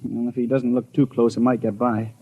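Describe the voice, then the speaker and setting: A man's voice: mid-range voice, little pitch movement, fairly quick, lightly acted. An actor playing an earnest, imaginative small-town postman with a folksy, hesitant manner in a 1950s radio drama. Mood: flustered, indignant. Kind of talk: 1950s radio acting, first-person storytelling and dialogue. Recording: dull old radio recording.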